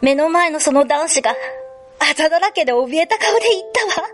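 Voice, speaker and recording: A woman's voice: high voice, expressive, brisk. A woman playing a troubled, polite schoolgirl in a radio drama, confessing emotionally in ladylike speech. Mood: haunted. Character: troubled, polite schoolgirl fearing her violent second self. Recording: music behind the voice.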